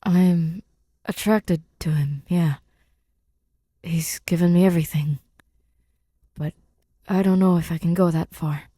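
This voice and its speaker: A woman narrating scripted fiction in an intimate, subdued, halting way, her breathy voice often near a whisper. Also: fairly low pitch, steady tone, mellow, no echo, studio quality. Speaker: podfic reader.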